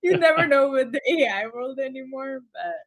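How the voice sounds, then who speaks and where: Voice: sits high, normal speed. A panel participant chatting casually and informally during an interview. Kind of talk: casual chat.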